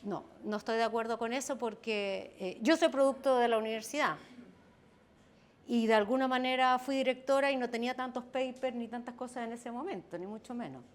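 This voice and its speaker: Woman, Chilean accent, strong voice, somewhat high pitch, measured panel talk. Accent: Chilean Spanish. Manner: measured, qualifying her points. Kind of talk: panel discussion. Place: event hall.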